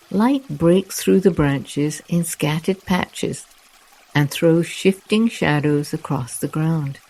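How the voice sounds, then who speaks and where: Slow, mellow meditation guide. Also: medium pitch, poor audio. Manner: soft, soothing, unhurried delivery with long pauses.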